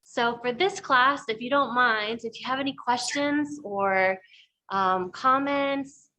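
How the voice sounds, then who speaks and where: A woman's voice: a little high, slow pace, bright, forceful tone, a little hiss or hum, poor audio. A woman hosting an online cooking class, going over the house rules before handing over to the teacher, with many ums and restarts. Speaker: class host for a food co-op.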